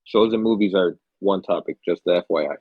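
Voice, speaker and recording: A man's voice: low voice, a little fast, breathy edge, dry recording, narrow, tinny audio. A young man co-hosting a podcast, telling rambling stories in casual chat, swearing freely and a little stuffy from a cold. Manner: laid-back, swears freely, rambling storyteller. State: stuffy, under the weather. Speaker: podcast co-host.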